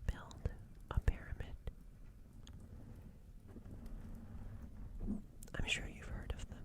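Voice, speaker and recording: A performer voicing a gentle, friendly alien in a breathy whispered ASMR roleplay, soothing and rambling, repeating words. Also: moderate pace, lightly acted. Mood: wistful, musing. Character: gentle friendly alien comforting a crashed human.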